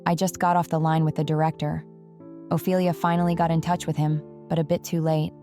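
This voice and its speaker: A woman's voice: low voice, flat delivery, brisk, mellow, soft background music. A woman playing a composed, businesslike female commander in an audio drama, terse in manner. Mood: composed, concerned.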